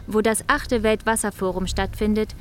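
An actress playing a composed, probing reporter who narrates a documentary-style radio play. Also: female voice, mid-range voice, fairly even intonation, normal speed, a bit bright, slight background noise, close to an everyday voice.

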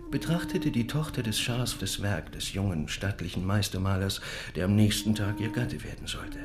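An actor playing a proud, enigmatic Ottoman miniature painter who tells parables in a measured, deliberate way in a radio drama. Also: medium pitch, close to an everyday voice. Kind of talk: literary radio drama storytelling. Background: music.

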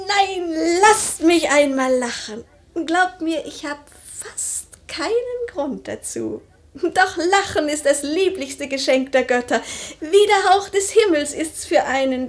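Radio verse drama: impulsive, melancholy lady of the house. High register, unhurried, pensive and theatrical phrasing. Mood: exalted, dreamy. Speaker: radio drama actress in a leading part. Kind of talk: mid-century German verse radio play acting.

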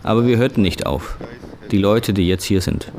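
Low-voiced man reading a translation. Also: moderate pace, darkish tone. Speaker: voice-over interpreter.

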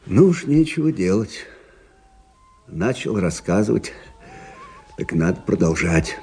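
An actor playing a plainspoken, rueful older army officer who recounts frontier tales conversationally in a radio play. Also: male voice, deep voice, measured pace, soft background music, exaggerated voice. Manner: conversational, expressive, folksy. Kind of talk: dramatic storytelling in a radio play.

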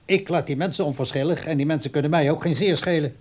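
An actor playing an aging father in a radio play, sly and aggrieved, with a husky, understated delivery. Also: a little high, fast, a little mellow, lightly acted. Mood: wry, defiant.

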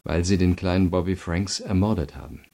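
Radio crime drama prosecutor: low, creaky, subdued timbre, calm but insistent interrogator. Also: male voice. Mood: insistent, challenging. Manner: measured, insistent, pointed questioning. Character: calm, probing male state prosecutor interrogating a prisoner.

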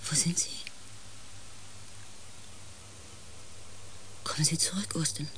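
Low-voiced, grave female space pilot. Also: fast talker, fairly bright tone, whispered, poor audio. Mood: pleading. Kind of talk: radio play acting, dramatic first-person narration.

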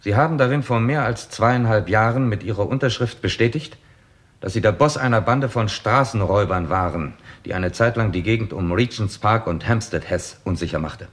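Give the impression of a composed male detective played by a man in a sixties radio crime drama. His voice is fairly low, his formal and exact. He lays out a case methodically, pressing with rhetorical questions. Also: normal speed, natural voice. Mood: pressing, accusing. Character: confident male police detective laying out a case, probing and composed. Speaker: actor in a crime radio play. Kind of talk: 1960s German radio drama acting.

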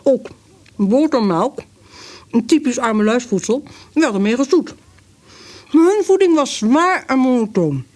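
A woman's voice: high voice, expressive, unhurried, long pauses, a little mellow. A woman narrating a radio play in a descriptive storytelling way. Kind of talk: scripted narration.

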